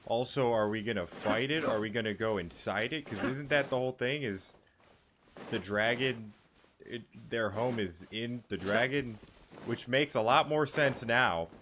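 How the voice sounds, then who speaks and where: A man's voice: medium pitch, a little slow, noisy background. A young man giving rambling, off-hand gameplay commentary with casual swearing. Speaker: gaming streamer. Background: game music.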